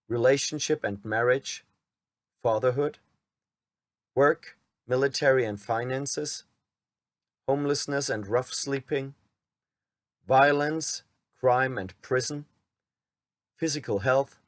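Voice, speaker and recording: A man from Germany with a German accent, a channel host reading a prepared script aloud in a measured, somewhat wooden way. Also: medium pitch, no room sound. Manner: admits his reading sounds wooden.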